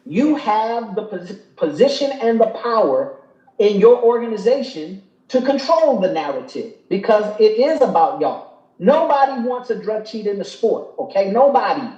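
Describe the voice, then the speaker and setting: A man's voice: high voice, very animated, moderate pace, dark tone, roomy sound, muffled recording. A man in his fifties on a live talk show, making emphatic points and tagging them with "okay?". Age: 50s. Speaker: guest.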